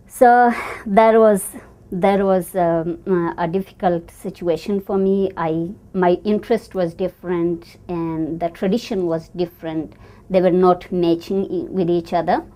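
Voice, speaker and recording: A woman from northern Pakistan speaking in a recorded interview, with many pauses and fillers. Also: fairly low pitch, fairly even intonation, dark tone. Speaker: program participant.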